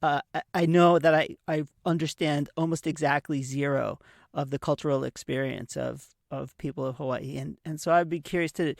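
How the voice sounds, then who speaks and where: Guy hosting an interview, kinda high creaky voice, dry audio, halting questions, corrects himself. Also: steady tone, no room sound. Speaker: interview host. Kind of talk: casual conversational interviewing.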